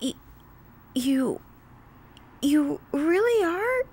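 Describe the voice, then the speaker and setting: A woman's voice: high voice, sing-song, drawn-out words, darkish tone, voice pushed up, exaggerated voice. A woman voicing an awkward, easily embarrassed female pony in a storybook, stammering and hesitant. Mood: nervous.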